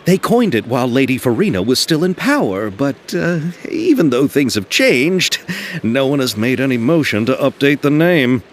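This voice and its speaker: A man's voice: mid-range voice, normal speed, a little hiss or hum, lightly acted. A man playing an eager, polite and bookish young filmmaker in a video game, explaining things earnestly and wordily. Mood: enthusiastic. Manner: earnest, wordy explainer, very expressive. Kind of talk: video game cutscene acting.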